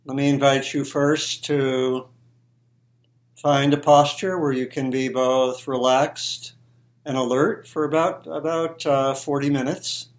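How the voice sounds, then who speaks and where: A man teaching meditation, giving gentle guided instructions in halting, spaced-out short phrases. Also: medium pitch, little pitch movement.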